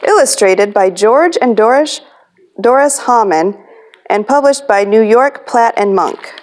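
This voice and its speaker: A woman's voice: a little high, animated, a little slow, slight background noise. A woman hosting a children's storytime as a librarian, reading a picture book aloud.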